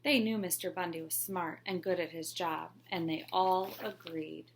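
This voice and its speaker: A woman, a teacher, narrating a children's picture book aloud in a clear, expressive storytelling manner. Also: lowish voice, moderate pace, slight background noise. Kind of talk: children's read-along narration.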